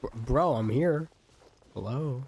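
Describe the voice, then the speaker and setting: A man's voice: mid-range voice, takes it slowly, a little mellow, husky. A man giving casual live gameplay commentary as a streamer, slangy and reactive, with muttered asides. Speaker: game streamer.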